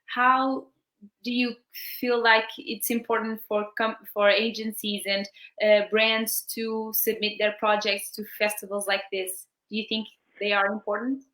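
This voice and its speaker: A woman's voice: on the high side, a bit bright. A woman, a native Portuguese speaker, asking conversational interview questions as the interviewer, somewhat hesitantly and repeating words. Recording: echoey room sound.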